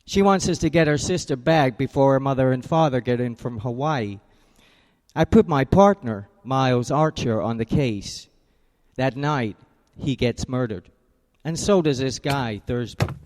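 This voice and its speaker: A man's voice: mid-range voice, a little slow, a little mellow, in a large hall, natural voice. A man playing a hard-boiled private eye. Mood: wry. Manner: clipped, wry and tough. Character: hard-boiled male private detective narrator. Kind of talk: 1940s radio acting.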